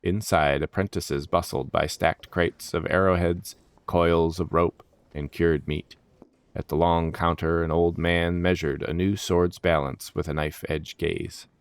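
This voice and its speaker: A man's voice: low-pitched, flat delivery, measured pace, clean tone, no room sound. A man reading scripted fantasy story narration as the narrator.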